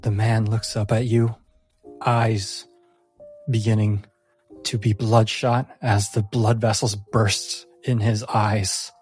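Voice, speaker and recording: A man working as game master, narrating a tabletop game in a solemn, deliberate, dramatic way with pauses. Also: on the low side, flat delivery, darkish tone, slightly rough, faint music behind.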